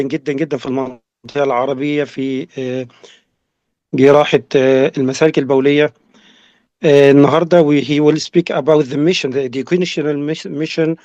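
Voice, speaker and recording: A man's voice: a little high, a little mellow, dry recording. A man with an Egyptian accent hosting an online session, introducing a guest and mixing English with Arabic. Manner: switches between English and Arabic. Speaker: session host. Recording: dull dry lo-fi audio.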